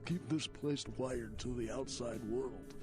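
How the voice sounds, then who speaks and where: An actor playing a nervous, eager vampire tech head in a video game, stammering through casual slangy talk. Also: male voice, mid-range voice, steady tone, a little slow, over music, lightly acted. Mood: evasive, casual. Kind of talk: video game character dialogue.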